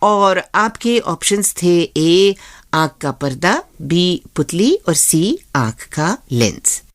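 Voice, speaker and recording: A woman's voice: lowish voice, very animated, takes it slowly, no echo, studio quality. A woman with an Indian accent co-hosting a radio show in a scripted presenting style. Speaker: radio co-host.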